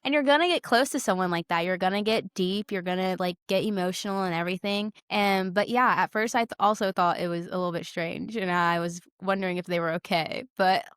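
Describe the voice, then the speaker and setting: Young female co-host, effusive and emphatic, clean smooth tone, dry close sound, casual film chat. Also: fairly low pitch, fairly quick, few pauses, fairly bright tone, no echo. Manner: effusive, emphatic, repeats words. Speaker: podcast co-host. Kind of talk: casual chat about films.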